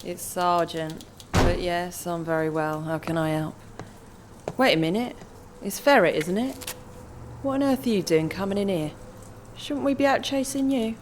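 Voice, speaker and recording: An actor with a British accent playing a dry, teasing, unimpressed police sergeant in a radio comedy. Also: male voice, high-pitched, normal speed, slight background noise, natural voice. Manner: sarcastic, dismissive.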